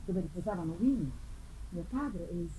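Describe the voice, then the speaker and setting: A woman's voice: fairly low pitch, expressive, normal speed, voice pushed down, natural voice. A woman reading a gothic novel theatrically as an anguished man bent on revenge, in a solemn manner, on a noisy live recording. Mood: grieving, bitter. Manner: solemn, theatrical, swinging from hushed to declamatory. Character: anguished male avenger narrating his tragic hunt.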